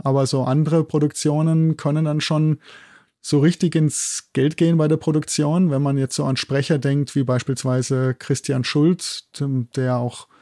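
A man's voice: mid-range voice, warm tone, dry recording, studio quality. A middle-aged man explaining things enthusiastically as an expert interview guest, often checking in at the ends of phrases. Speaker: guest expert, zoology institute head and sound producer.

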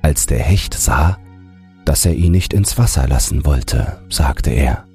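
A man reads a bedtime fairy tale in an extremely low, gravelly voice. His pace is slow and soothing for sleep, with soft music behind him. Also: expressive. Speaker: audiobook narrator.

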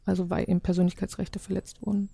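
A woman's voice: mid-range voice, little pitch movement, quick pace, creaky, warm tone, slightly rough, dry recording, studio quality. A woman speaking as an interview guest, reflective and searching for words as she recounts her own project. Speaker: interview guest, audio documentary maker.

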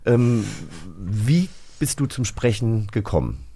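Low-pitched man hosting a casual interview. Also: sing-song, slow, mellow. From rural Germany. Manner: conversational, informal. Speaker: host of an audio drama magazine show.

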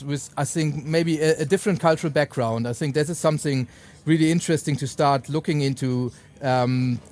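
Man, fairly high-pitched, event moderator, unscripted interview, rambling hesitant delivery. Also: a little mellow.

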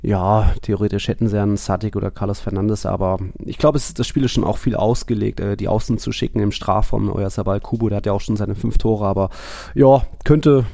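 A man's voice: fairly low pitch, steady tone, a little fast, vocal fry, mellow, no echo, clean studio sound. A man co-hosting a casual football podcast, explaining things in long, colloquial, conversational runs. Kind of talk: casual football analysis chat.

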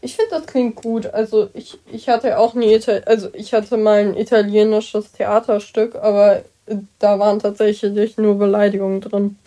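A woman's voice: mid-range voice, fairly even intonation, moderate pace, few pauses, dark tone. A young woman co-hosting a podcast, chatting casually and giving short encouraging replies. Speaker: podcast co-host.

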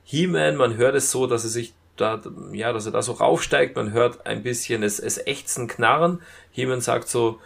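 Creaky-voiced man chatting. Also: mid-range voice. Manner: halting retelling with acted-out quotes. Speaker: podcast co-host reviewing audio dramas. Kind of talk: casual review chat.

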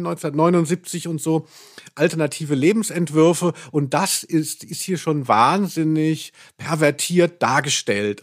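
A man co-hosting a podcast, chatting casually and retelling a story in an excitable, ironic way. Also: fairly high pitch. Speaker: podcast co-host. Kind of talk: casual, humorous discussion of an audio drama.

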